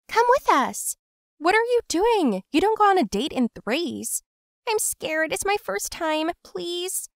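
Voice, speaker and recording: A woman putting on an insecure young woman whose voice climbs high and trembles with emotion. She pleads and snaps with bitter hurt. The sound is dry and close. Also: big pitch swings, takes it slowly, creaky, few pauses, fairly bright tone, no room sound, heavily acted. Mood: pleading.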